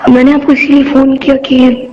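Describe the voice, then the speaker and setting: A woman's voice: sits high, flat delivery, moderate pace, natural voice. Hesitant, pleading student girl, acted by a woman, muffled noisy line. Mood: anxious, pleading. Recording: muffled, noisy phone call recording.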